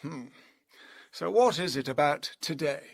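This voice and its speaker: An actor playing a gentle, concerned and mildly sceptical older father in a period audio drama. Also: a little high, expressive, a little slow, creaky, no echo, studio quality, heavily acted. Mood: probing, sceptical.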